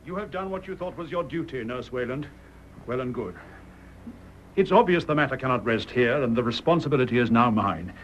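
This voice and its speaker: An actor playing a stern, restrained British army major in a radio drama, formal and deliberate. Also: male voice, mid-range voice, lively intonation, lightly acted. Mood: coldly formal. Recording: muffled radio recording, clear background noise.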